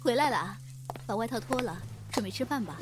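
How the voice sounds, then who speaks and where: Homely wife and mother, acted by a woman, higher-voiced. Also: lively intonation, quick pace, lightly acted. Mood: caring. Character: doting, teasing wife and mother at dinner. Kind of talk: Mandarin radio drama acting.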